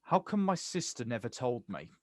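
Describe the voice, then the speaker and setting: An actor playing a bitter, protective older brother, confrontational and incredulous, in a naturalistic stage drama. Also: male voice, medium pitch, very animated, normal speed, no room sound, clean studio sound, close to an everyday voice. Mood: incredulous, accusing. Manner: confrontational, incredulous, clipped questions.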